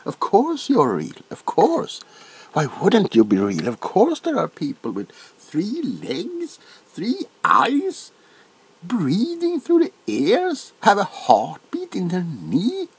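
Man playing a breathy, flustered doctor, wildly swooping pitch. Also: wildly swooping pitch, slow, exaggerated voice, low-quality recording. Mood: nervous, reassuring. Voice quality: soft-spoken, breathy. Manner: hesitant, repetitive, theatrical, often dropping into whispers. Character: nervous, flustered, eccentric male doctor examining a monster. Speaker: ASMR performer. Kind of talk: soft-spoken comedic ASMR doctor roleplay. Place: small cluttered room at home. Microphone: close to the camera.

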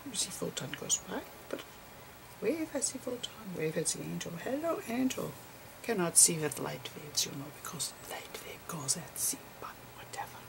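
Woman playing an old professor, half-whispered, slow and halting, gentle, eccentric, quaintly formal, ASMR lecture. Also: lowish voice, gravelly, exaggerated voice, low-quality recording. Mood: whimsical, explaining. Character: gentle eccentric old male physicist, Einstein impression. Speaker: physics lecturer. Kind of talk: ASMR role-play lecture.

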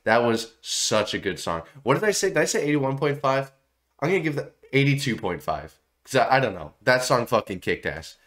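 A young man with a stuffy, nasal voice from a cold, giving excitable, swear-heavy commentary while reacting to songs in a small home room. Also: mid-range voice, moderate pace. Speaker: music reaction streamer. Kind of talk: casual music reaction commentary.

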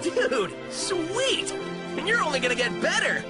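An actor voicing a cocky, upbeat teenage hedgehog hero in a video game cutscene, brash and breezy. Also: male voice, very high-pitched, very animated, a little slow, loud music behind the voice, heavily acted. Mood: friendly, upbeat.

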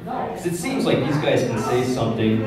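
A man's voice: medium pitch, big pitch swings, moderate pace, distant mic. Man doing stand-up in a roomy pub. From Czechoslovakia. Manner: conversational, crude, asks the crowd "right?". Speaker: stand-up comedian. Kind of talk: stand-up set. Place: small pub comedy stage with audience. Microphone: handheld mic, filmed from far back. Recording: roomy pub sound from afar. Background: loud crowd laughter.

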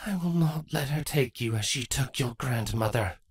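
Woman acting a low, grave changeling queen. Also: animated, slightly rough, heavily acted. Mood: fierce, protective. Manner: measured, formal, grave. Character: regal, brooding changeling queen mother, protective and grave. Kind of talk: acted fan fiction audiobook dialogue.

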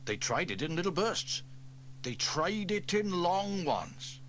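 A man narrating a children's audio story in an expressive, animated read-aloud manner. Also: high voice, takes it slowly, a little hiss or hum.

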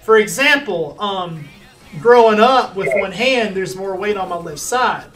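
High-voiced young man streaming games. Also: expressive, unhurried, a crowd in the background. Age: 20s. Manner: laid-back, self-deprecating joker. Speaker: streamer host. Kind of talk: casual gaming stream chat. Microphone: headset. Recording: faint game music behind.

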